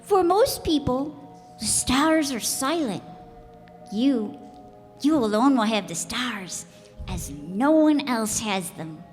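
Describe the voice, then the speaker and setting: Woman as wistful little boy, radio play, tender deliberate delivery, lively melodic pitch, music behind. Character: gentle, wistful little prince, a young boy from the stars. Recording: reverberant hall with music.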